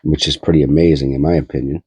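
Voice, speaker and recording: A man's voice: rumbling low, level tone, fast talker, mellow, husky, no room sound. A man with a stuffy, nasal cold, hosting his coin-hunting channel and rambling aloud with many fillers as he sorts pennies. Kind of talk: casual coin roll hunting commentary.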